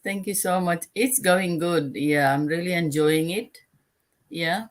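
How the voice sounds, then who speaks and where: An older woman from Fiji, a retired guest answering interview questions in a measured way with fillers. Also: fairly low pitch.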